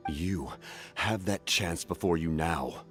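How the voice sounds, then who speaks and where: Very deep, grim commander. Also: male voice, takes it slowly, a bit bright, soft background music. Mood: grieving, grave. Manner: stern, intense, grave. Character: grim, forceful male military commander, haunted survivor. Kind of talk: scripted fantasy game acting.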